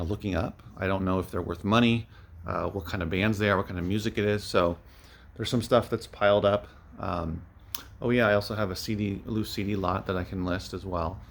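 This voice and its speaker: A man giving a casual, unscripted vlog update as an online reseller, rambling and thinking out loud. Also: on the low side. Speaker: vlogger, online media reseller.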